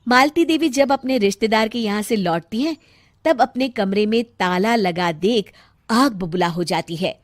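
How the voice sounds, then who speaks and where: A woman's voice: on the high side, moderate pace, no echo, clean studio sound. A woman giving scripted narration for a cartoon story.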